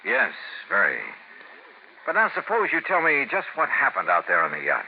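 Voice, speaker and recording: A wry radio detective. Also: male voice, medium pitch, wildly swooping pitch, normal speed, heavy background noise. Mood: dry. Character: wry insurance investigator narrating. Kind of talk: 1950s radio drama narration. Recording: muffled old radio with music.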